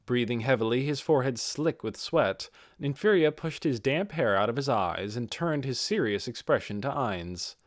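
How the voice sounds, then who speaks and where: A man narrates a fantasy audiobook in a mid-pitched voice. His intonation moves a lot as he reads the scripted story, and the sound is dry with no room around it. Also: expressive, normal speed, hardly any pauses, no echo. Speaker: audiobook reader.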